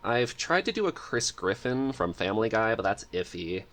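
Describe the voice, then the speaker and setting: A man's voice: lowish voice, sing-song, moderate pace, a bit bright. A man talking casually as a podcast guest, chatty and eager in manner.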